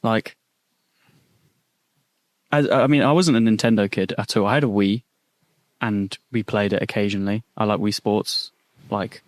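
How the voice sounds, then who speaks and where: A man's voice: fairly low pitch, steady tone, no echo. A young man from the UK co-hosting a podcast, talking in eager, slangy casual chat. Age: young adult. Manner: enthusiastic, slangy, quick to laugh. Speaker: podcast co-host.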